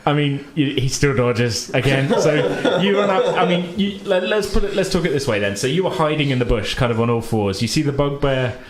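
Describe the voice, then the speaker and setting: A man's voice: mid-range voice, lively intonation, a little fast, hardly any pauses, crowd noise. A man acting as game master, narrating a tabletop game casually in loose, improvised phrases. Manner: improvised, hedging narration with "kind of" and "like". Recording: echoey room with faint background noise.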